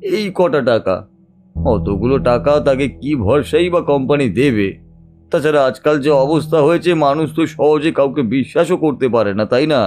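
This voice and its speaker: A man acting a distressed, cheated family man in an audio drama, telling his troubles haltingly and seeking agreement. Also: mid-range voice, darkish tone, close to an everyday voice. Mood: sorrowful, weary. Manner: confiding, halting, seeks agreement with tag questions. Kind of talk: Bengali audio detective drama monologue.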